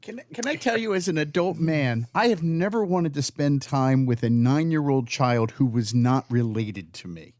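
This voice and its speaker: Guy chiming in deadpan, emphatic, middle pitch, no room echo. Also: normal speed, hardly any pauses, studio quality. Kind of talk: casual banter.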